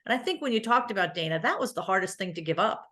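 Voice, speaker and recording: A middle-aged woman answering questions as a conference speaker in a conversational, animated, anecdotal way. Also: mid-range voice, quick pace. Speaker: guest speaker, physical therapist.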